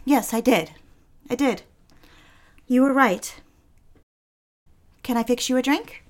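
A woman's voice: fairly high pitch, slow. A young woman acting a polite, restless secretary and daughter in a period audio drama. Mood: polite, guarded. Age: young adult.

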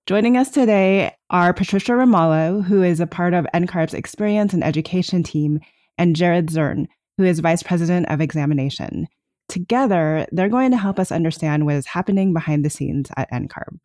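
A woman co-hosting a podcast, introducing topics and guests in a steady, articulate way. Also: on the low side, normal speed, no room sound, clean studio sound. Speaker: podcast co-host.

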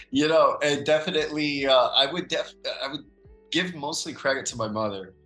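Male podcast guest from the Dominican Republic, bright tone, roomy echoey recording, loose filler-heavy delivery. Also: fairly high pitch, moderate pace, soft background music. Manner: relaxed, thoughtful, frequent um and uh fillers. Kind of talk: casual conversational interview.

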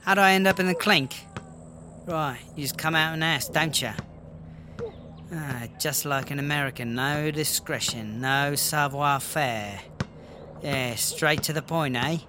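A man's voice: fairly high pitch, measured pace, noisy background, natural voice. A man playing a touchy, chatty British prisoner with an English accent, rambling indignantly in a comedy audio drama. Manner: indignant, rambling, full of filler words. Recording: music clearly playing behind.

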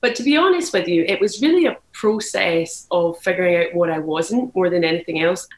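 A woman's voice: lowish voice, animated, brisk. A young woman from Glasgow with a Scottish accent, giving candid, thoughtful interview answers and often restarting her phrases. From Glasgow, Scotland. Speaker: journalist guest. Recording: echoey room.

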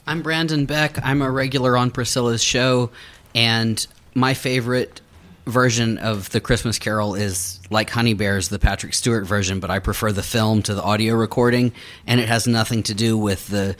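Lowish-voiced man, casual radio guest. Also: steady tone, normal speed, a bit bright. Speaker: regular radio show guest. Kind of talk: casual self-introduction.

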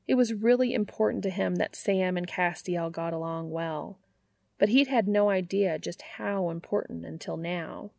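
A woman reading scripted fiction as an audiobook narrator, with expressive storytelling. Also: on the low side, vocal fry, no room sound.

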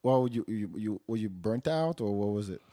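A man's voice: lowish voice, animated, moderate pace, mellow, no echo, clean studio sound. A man hosting a podcast, asking follow-up questions and giving short supportive replies. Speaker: podcast host. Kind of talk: casual interview.

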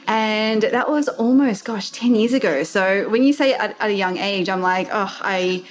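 A woman's voice: mid-range voice, normal speed, rough recording. A bubbly Australian woman in her thirties, chatting as a podcast guest. She tells her story in a loose, chatty way and laughs at herself now and then. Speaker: entrepreneur guest. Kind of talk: casual interview storytelling.

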